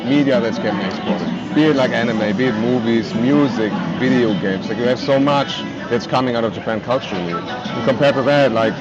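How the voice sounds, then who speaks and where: Mid-pitched man, chatty, lo-fi street audio. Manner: chatty, many "like" fillers and restarts. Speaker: interviewee, Japanese learner. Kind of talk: casual street interview answers. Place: outdoors on a busy city street. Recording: lo-fi street audio with loud music.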